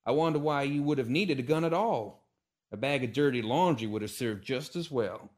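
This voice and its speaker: A man's voice: mid-range voice, animated, moderate pace, voice pushed up, close to an everyday voice. A man voicing a pompous, touchy gunslinger who sneers and speaks haughtily. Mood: sneering.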